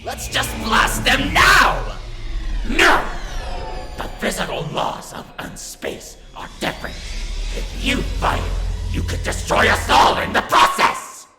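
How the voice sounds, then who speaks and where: A woman voicing an intense, scholarly robot villain with a creaky voice and precise, dramatic delivery. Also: sits high, wildly swooping pitch, slow pace, full character voice. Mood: urgent. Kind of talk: comic dub villain acting. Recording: echoey, loud music underneath.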